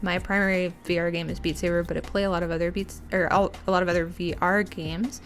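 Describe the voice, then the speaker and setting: A woman's voice: on the low side, flat delivery, quick pace. A young woman from the United States hosting a casual streamed interview, chatty and giggly with lots of fillers. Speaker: podcast host.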